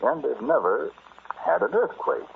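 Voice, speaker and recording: A man's voice: mid-range voice, wildly swooping pitch, measured pace, creaky, narrow, tinny audio, a little hiss or hum, heavily acted. An actor in 1940s radio drama style playing a domineering, taunting madman who gloats over his power to destroy, on an old radio recording. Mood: gloating, menacing. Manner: domineering, taunting, chuckling menace. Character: deranged, gloating man wielding power to destroy the world.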